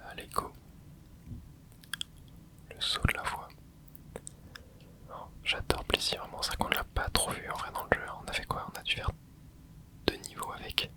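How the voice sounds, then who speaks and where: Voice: normal speed, pauses often, pushed and bright. A host whispering casual gameplay commentary, rambling and thinking aloud with many hesitations. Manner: casual, hesitant, frequent filler words. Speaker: gaming channel host.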